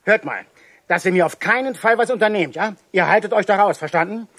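Nosy grown-up in a children's radio play, fairly high male voice, husky, questioning, admonishing, dry studio sound. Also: a little fast, fairly bright tone, no room sound. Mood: concerned, stern. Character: grown man, nosy acquaintance who sternly warns young detectives.

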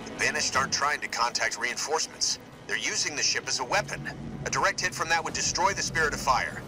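An actor playing a determined male marine squad leader in a sci-fi war game, brisk and commanding. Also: medium pitch, noisy background, natural voice. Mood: resolute. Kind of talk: video game military acting.

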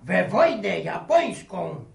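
A high, heavily creaking old grandfather in a radio drama. He rambles slowly in a folksy, teasing way and repeats his words. The sound is echoey and set back from the microphone. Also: very animated, full character voice. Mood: rambling, teasing. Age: older. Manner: stammering repetition, folksy rambling. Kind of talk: Polish radio serial acting. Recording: echoey, distant radio studio recording.

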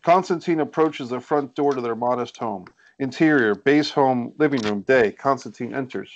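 A man's voice: medium pitch, normal speed, muffled recording, slight background noise. A man reading stage directions as scripted narration for a screenplay over a video call. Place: indoors on a group video call.

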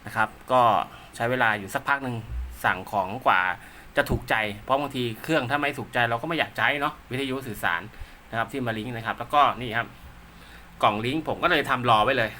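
Bright-voiced man in casual hands-on commentary. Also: mid-range voice, a little hiss or hum. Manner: polite, rambling, talks to himself while handling gear. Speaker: radio technician and seller presenting his work.

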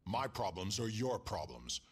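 An actor playing a huge, vengeful male gang boss in a video game, gruff, raspy, blunt and threatening. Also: on the low side, big pitch swings, a little slow, heavy background noise. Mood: angry, threatening. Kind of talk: video game cutscene acting.

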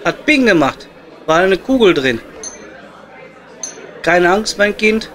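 A man's voice: high-pitched, big pitch swings, takes it slowly, a bit bright, exaggerated voice. A man playing a crude, jovial coal miner with a Ruhr German accent in an improvised comedy audio play. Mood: joking, blunt. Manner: blunt, joking, slangy. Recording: music behind the voice.

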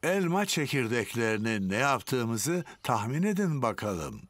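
A man's voice: medium pitch, sing-song, unhurried, a bit bright. A man voicing a cheerful old grandfather pig in a children's cartoon, kindly and jovial. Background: music.

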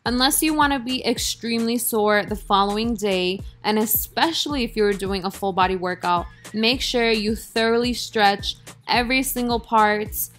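A woman's voice: a little high, moderate pace, hardly any pauses, fairly bright tone. A young woman narrating her workout video in a casual, self-deprecating, upbeat voice-over. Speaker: fitness vlogger. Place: voice-over, not recorded in the gym. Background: music.

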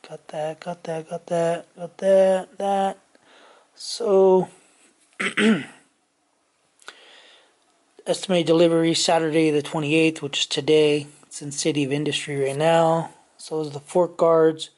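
Slow rambling man in a garage. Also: on the high side, little pitch movement, a little mellow. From California. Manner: rambling, many ums and restarts. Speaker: motorcycle vlogger. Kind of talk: casual garage walkthrough. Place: home garage. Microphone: handheld camera mic, off-screen.

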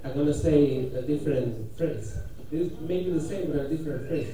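A middle-aged man with a Spanish accent preaching in halting, simple English, pausing for an interpreter and putting questions to the congregation. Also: fairly high pitch, warm tone. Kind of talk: interactive sermon with pauses for an interpreter. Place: church hall with congregation, handheld microphone through speakers. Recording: echoey room, distant camera pickup, congregation noise.

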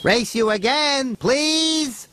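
A man voicing an eager, cheeky young steam engine in a children's story, excited and teasing. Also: very high voice, drawn-out words, exaggerated voice. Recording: music behind the voice.

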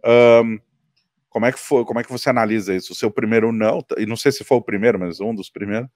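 A man in his thirties hosting an interview from a small home studio with headphones and a studio mic, asking long multi-part questions with lively emphasis. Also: lowish voice, fast talker, no echo. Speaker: interviewer and show host. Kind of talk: video-call interview.

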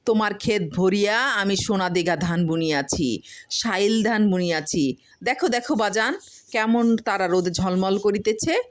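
A woman's voice: mid-range voice, moderate pace, pitched above the performer's own voice, lightly acted. A woman voicing a simple, tender poor male farmer in a storybook reading, pleading and exclaiming with affection. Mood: doting.

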